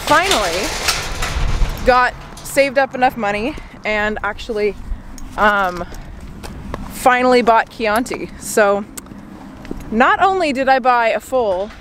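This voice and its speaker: A woman's voice: a little high, lively intonation, takes it slowly, pauses often, background noise. Casual young Canadian woman vlogging. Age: 20s. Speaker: vlogger and horse rider. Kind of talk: casual vlog chat to camera. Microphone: camera held at arm's length or set on dashboard.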